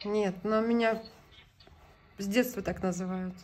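A woman's voice: mid-range voice, fairly even intonation, measured pace. A middle-aged woman chatting casually and flirtatiously on a call, composed and playfully evasive, recorded on a phone at home. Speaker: social media video creator. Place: small indoor room at home. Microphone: phone at arm's length.

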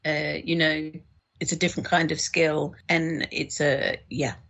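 A woman guesting on a podcast as an audiobook producer, talking about books in an enthusiastic, expressive way. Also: sits low, unhurried, gravelly. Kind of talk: conversational literary discussion.

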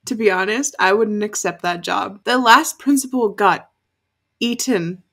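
A woman's voice: medium pitch, measured pace, a bit bright. Female, young adult. Reaction host, informal running commentary, mid-range voice, swears freely. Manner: sweary, chatty. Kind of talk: casual, candid TV reaction commentary. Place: bedroom, indoors.

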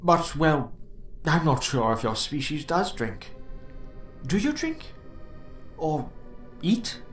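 A man's voice: a little high, big pitch swings, takes it slowly, fairly bright tone, reverberant, faint music behind, pitched above the performer's own voice, full character voice. A man voicing a polite, nervous but principled male coordinator in an audiobook, speaking in a hesitant, courteous way. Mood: awkward, polite. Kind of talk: audiobook character voice.

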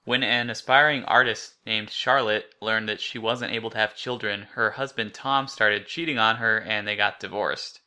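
A man's voice: fairly low pitch, steady tone, moderate pace, hardly any pauses, bright, forceful tone. A man co-hosting a podcast, recapping and discussing a story in an informal way. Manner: informal, rambling, frequent "like". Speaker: podcast co-host.

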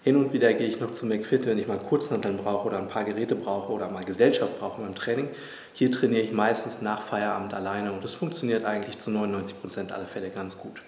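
A man in his thirties with a fairly low voice, chatting easily as he shows viewers around his small private gym. The room is echoey, and a faint hum sits underneath. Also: quick pace. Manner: relaxed, chatty, addressing viewers as friends. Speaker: personal trainer and fitness vlogger. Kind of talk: casual vlog tour and update.

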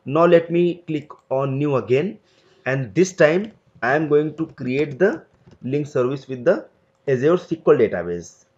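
Man walking through a software tutorial. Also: on the high side, lively intonation, a little slow, highs cut off. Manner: step-by-step explanatory, loose phrasing. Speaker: tutorial instructor. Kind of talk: screen-recorded software tutorial.

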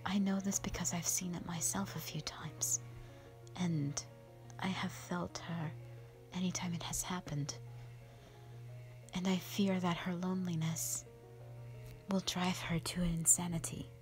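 A woman acts out an ancient she-dragon, gentle and protective of her kin. Her voice is low and hushed, almost all breath, and it moves very slowly, halting under old sorrow. It plays as an intimate audio drama monologue, with music behind it. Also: little pitch movement, natural voice. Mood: troubled, wistful. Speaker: audio roleplay performer. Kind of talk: soft whispered dramatic monologue, ASMR-like.

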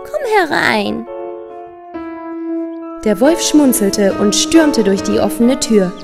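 A woman's voice: medium pitch, animated, measured pace, big-room sound, music in the background. A woman narrating a children's fairy tale as a scripted storyteller.

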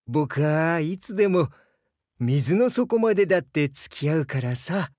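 A man playing a gentle, bashful crocodile diving instructor in a Japanese game, earnest and hesitant, easily flustered. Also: on the high side, animated, unhurried, no room sound, clean studio sound. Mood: calm, friendly. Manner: soft-spoken, hesitant, earnest, stammers when flustered. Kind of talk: Japanese game character voice lines.

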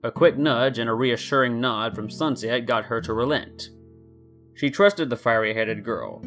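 A man narrates a story in a scripted reading style. His pitch sits in the middle range, and light guitar music plays behind him. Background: soft guitar music.

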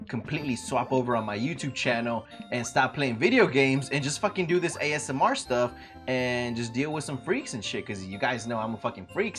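A man's voice: medium pitch, fairly bright tone. A young man live-streaming a video game from home, chatting loosely and cursing as he talks to his chat. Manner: loose, profane, talks to chat, jokes around. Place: home room, seen on webcam.

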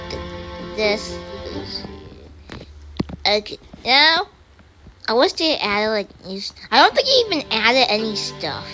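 Woman gamer, high lively voice, easygoing pace, clear game music behind. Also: rough recording. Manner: excitable, chatty, with sudden high squeals. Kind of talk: casual gameplay commentary.

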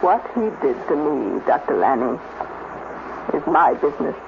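A woman's voice: low voice, sing-song, normal speed, gravelly, full character voice. An actress playing a bitter, vengeful woman scientist, insistent and cutting, in a 1950s radio drama. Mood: cold, bitter. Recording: tinny, noisy 1950s radio recording.

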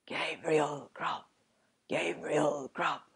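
Woman voicing eerie, slow-chanting unseen goblins. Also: sits low, fairly even intonation, vocal fry, slightly rough, exaggerated voice. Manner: drawn-out chanting. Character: wild chorus of unseen goblin voices, eerie, accusing.

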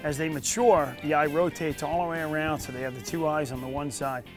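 A man's voice: fairly high pitch, fairly even intonation, fairly quick, music in the background. A middle-aged man hosting a fishing show from a small boat at sea, explaining techniques in a casual, friendly way. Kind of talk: casual on-camera fishing talk.

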